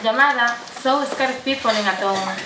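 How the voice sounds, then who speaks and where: A woman's voice: fairly high pitch, normal speed. A young woman reading scripted narration for an amateur puppet show. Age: young adult. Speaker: student narrator. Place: indoor room at a day centre. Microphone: far camera. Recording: noisy echoey room, distant camera mic.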